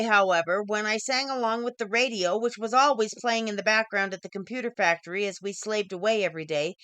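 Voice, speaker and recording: A woman giving an expressive scripted reading of her own short story as its author. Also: mid-range voice, no room sound.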